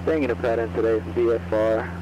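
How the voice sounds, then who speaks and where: A man's voice: medium pitch, fairly even intonation, fairly quick, dull audio. A man speaking as a pilot, making procedural calls to the tower over a radio. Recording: radio voice over engine hum. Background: engine hum.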